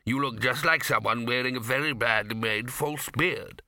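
A man's voice: mid-range voice, moderate pace, bright, forceful tone, dry recording. Man acting dry, bossy old senior wizard. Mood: deadpan, exasperated. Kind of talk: audiobook character acting.

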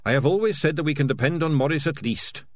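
A meek, earnest little male rat voiced by a man in a storybook reading. The voice sits raised and mild, mumbling gently, full of fragile trust. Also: on the high side, animated, fast talker, pitched above the performer's own voice.

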